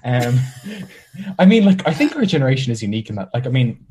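A man's voice: mid-range voice, very animated, a little fast, a little mellow. A young Irish man who makes content, chatting as an interview guest with sarcastic, self-mocking humor. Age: young adult. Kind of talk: casual podcast interview.

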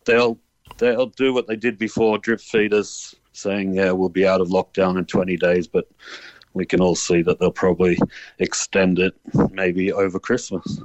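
A man's voice: low voice, level tone, moderate pace, lo-fi sound. A man with an Australian accent giving casual answers as a phone-in guest, matter-of-fact, hesitant and fed up. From born in Ireland, grew up in Australia.

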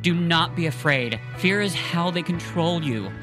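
A reader doing a gentle, earnest young soldier. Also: medium pitch, animated, moderate pace, music in the background, voice pushed up, lightly acted. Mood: pleading. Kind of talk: amateur read-aloud scene.

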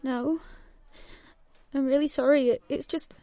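A woman's voice: sits high, steady tone, measured pace, warm tone, no room sound. An actress playing a timid, apologetic schoolgirl in an audio drama, meek, hesitant and stammering. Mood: nervous, upset.

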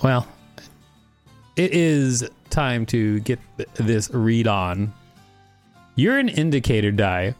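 Man podcasting with a lively pitch. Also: slow, pauses often, faint music behind. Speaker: podcast co-host. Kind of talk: casual chat and read-aloud of an article.

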